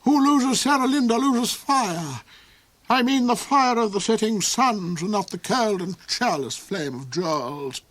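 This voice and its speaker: A man voicing a cold, cruel duke in a storybook reading, with shrill, theatrical outbursts. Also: animated, measured pace, a bit bright, full character voice, rough recording. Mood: rhapsodic.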